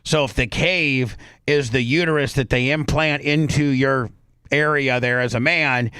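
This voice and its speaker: A man's voice: mid-range voice, bright, forceful tone, clear, resonant tone, dry recording. A man speaks as a talk radio host in a mid-range voice, recorded dry with no room sound. He comments casually, circling back over his phrases and restarting as he explains.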